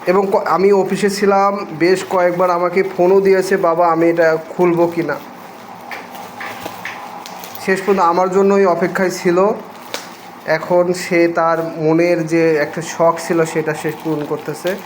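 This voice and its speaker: A man with a Bangladeshi accent gives casual, unscripted vlog narration, pausing hesitantly between short phrases. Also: high voice, flat delivery. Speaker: vlogger unboxing a product. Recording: noisy home video audio.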